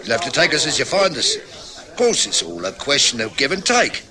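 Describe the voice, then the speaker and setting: An actor playing a breezy, prying working-class London husband with a London accent, chummy and wheedling, in an old radio drama. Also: male voice, medium pitch, wildly swooping pitch, a little fast, crowd noise.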